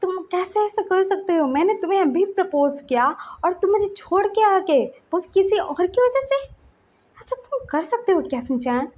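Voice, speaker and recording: A woman's voice: very high voice, lively intonation, warm tone, pitched above the performer's own voice. A woman voicing a hurt, jealous young male lover in a story, accusing and indignant with insistent questions. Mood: angry, accusing. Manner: insistent, rapid-fire rhetorical questions.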